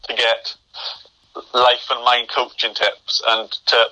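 Bright-toned young man who stammers. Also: mid-range voice, completely flat delivery, slow, poor audio. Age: young adult. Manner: stammers, with repeated starts and blocked words. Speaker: podcast guest. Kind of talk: interview conversation.

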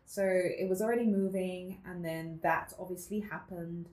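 A woman's voice: fairly low pitch, steady tone, normal speed, darkish tone, reverberant. A woman from the Netherlands in her twenties, a guest telling her life story in a casual interview, reflective, laughing often and using many fillers.

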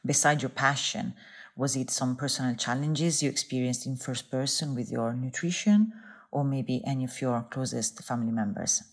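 A woman's voice: extremely low pitch, moderate pace. A woman hosting a podcast, reading a formal, polished introduction and asking scripted interview questions. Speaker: podcast host. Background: intro music.

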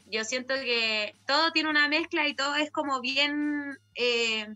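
Bright, high-voiced Chilean woman. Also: unhurried, soft background music. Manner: slangy, tags sentences with a question. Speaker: guest singer. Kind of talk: casual conversation.

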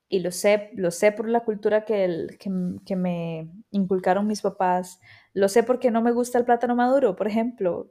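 A woman's voice: mid-range voice, dark tone. A woman in her thirties with a Costa Rican accent, hosting a podcast in a reflective, chatty personal monologue. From Costa Rica. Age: 30s. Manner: reflective and chatty, with frequent quoted asides. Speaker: podcast host.

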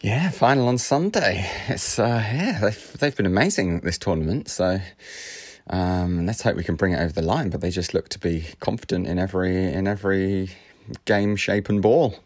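A man co-hosting a casual podcast, talking in a measured way and reasoning things through with a dry touch. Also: deep voice, a little mellow. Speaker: podcast co-host. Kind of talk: casual chat.

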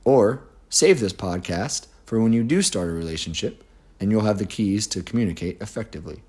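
A man co-hosting a casual podcast, his voice raspy and hoarse, speaking in an earnest, measured way. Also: fairly low pitch, expressive, darkish tone. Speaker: podcast co-host. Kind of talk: casual chat.

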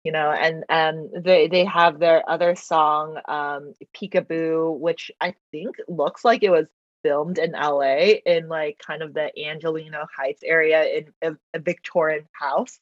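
A woman whose first language is Mandarin, chatting as a podcast guest, talkative and quick to laugh, with lots of fillers. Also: low voice, fairly even intonation, no echo. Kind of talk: casual interview chat. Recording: dull lo-fi dry recording.

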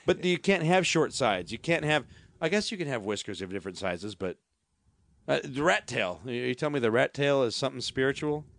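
Gravelly rambling man in casual chat. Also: mid-range voice, a little fast, no room sound, studio quality. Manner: rambling, animated storytelling with many restarts.

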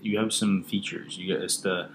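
A man's voice: low-pitched, steady tone, normal speed, fairly bright tone, a little hiss or hum, poor audio. A man co-hosting a podcast in casual chat, teasing and joking with his co-host.

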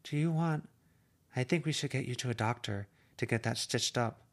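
A man's voice: medium pitch, moderate pace, voice pushed up, close to an everyday voice. A man reading an amateur audiobook, voicing an anxious, earnest teenage girl who pleads hesitantly. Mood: worried.

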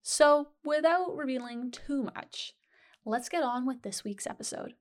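A woman's voice: sits high, unhurried. A woman hosting a podcast, reading an upbeat scripted introduction. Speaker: podcast host.

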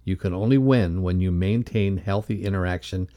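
A man with a low, dark and relaxed voice reads a scripted self-improvement lesson as a podcast co-host. His tone is mellow, set a little deeper than most. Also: moderate pace. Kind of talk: scripted reading of a leadership lesson.

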